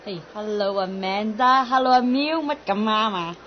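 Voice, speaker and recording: An actress plays a tomboyish, possessive young rival in a Cantonese radio drama. Her mid-pitched voice has a Hong Kong accent and a pointed, cagey delivery, on a slightly lo-fi recording. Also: normal speed, a little hiss or hum, lightly acted. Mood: falsely breezy. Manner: pointed, probing.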